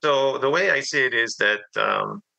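A man's voice: mid-range voice, moderate pace, creaky, sharp tone. A middle-aged man from South Korea, a pastor answering interview questions hesitantly, with many fillers.

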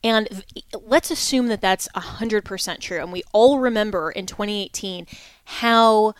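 A woman hosting a talk radio interview, talking in a measured, reasoned way with frequent restarts. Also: mid-range voice, no room sound. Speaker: host.

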